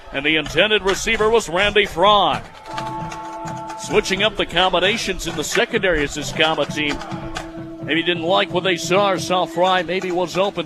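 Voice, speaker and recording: A young American man in his twenties doing live football radio play-by-play, excitable and quick to raise his voice on plays. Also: high-pitched. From United States, Michigan. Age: 20s.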